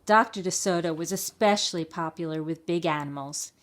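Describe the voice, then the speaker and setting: A woman's voice: on the low side, fairly quick. A woman reading a picture book for a library storytime, explaining and asking questions as if to young children.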